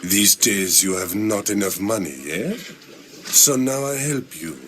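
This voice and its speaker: A sinister Frenchman from a game cutscene, very deep and gravelly. He taunts in slow, drawn-out phrases that swing widely in pitch, full of mocking menace. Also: expressive, fairly bright tone, slight background noise. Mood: sly bargaining. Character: sinister, mocking French villain, cult leader. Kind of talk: video game cutscene acting. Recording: dramatic music behind.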